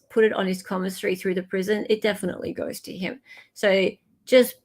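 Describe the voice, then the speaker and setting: An Australian woman hosting a livestream in a chatty, informal way, effusive when she gives thanks. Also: medium pitch. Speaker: livestream host. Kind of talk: casual livestream chat.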